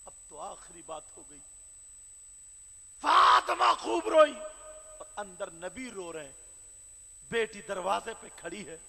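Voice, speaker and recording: Shrill, gravelly impassioned male preacher. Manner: impassioned, shouting with chanted sing-song phrases. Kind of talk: emotional devotional sermon storytelling. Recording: reverberant hall with faint crowd.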